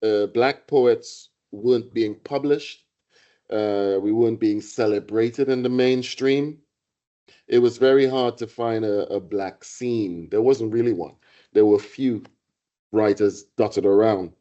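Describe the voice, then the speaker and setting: A middle-aged man from Trinidad, giving a reflective public lecture on poetry and music, pausing and restarting as he thinks. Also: fairly low pitch, mellow, dull audio. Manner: reflective, halting with restarts and fillers. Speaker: guest lecturer, poet.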